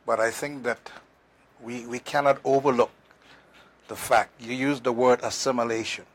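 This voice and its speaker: A man's voice: medium pitch, fairly even intonation, normal speed. A man, a guest historian explaining at length in a conversational interview, emphatic, with fillers and restarts. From Turks and Caicos Islands.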